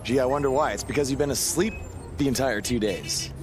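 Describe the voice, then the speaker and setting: An actor playing a young pro wrestler, casual and sarcastic, in a video game cutscene. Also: male voice, mid-range voice, brisk, music in the background, natural voice. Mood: playful, wry.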